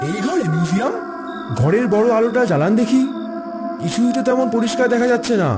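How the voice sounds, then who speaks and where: A man's voice: very high voice, fast talker. A man playing a curious, wary young visitor in a horror cartoon, with an inquisitive, questioning manner. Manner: animated, inquisitive. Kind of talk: animated horror story acting. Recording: loud music drowning the background. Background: music.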